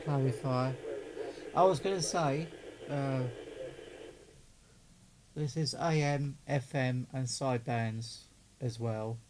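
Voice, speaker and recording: Man, middle-aged, unhurried muttering hobbyist presenter, average pitch, slightly noisy home-made recording. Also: steady tone. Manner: muttering to himself, rambling. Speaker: hobbyist presenter unboxing a CB radio. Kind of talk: casual hands-on unboxing chat. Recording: clear background noise.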